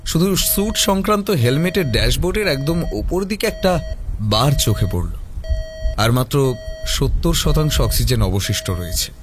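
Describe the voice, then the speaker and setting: Guy narrating a mystery horror story, dramatic, swoopy mid voice, soft music behind. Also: mid-range voice, very animated, normal speed.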